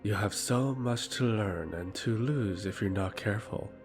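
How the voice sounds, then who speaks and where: Male, low and unhurried: actor as silky, patronizing, menacing noble emissary, fantasy audio drama, music underneath. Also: lightly acted. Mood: veiled threat. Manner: formal, insinuating, patronizing.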